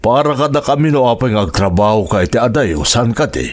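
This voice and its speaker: Actor as man in a family drama, Ilocano accent, expressive, swooping intonation, mid-range pitch. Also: a little fast, lightly acted. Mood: questioning. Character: male characters in a family and romance drama. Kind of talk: Ilocano radio drama acting.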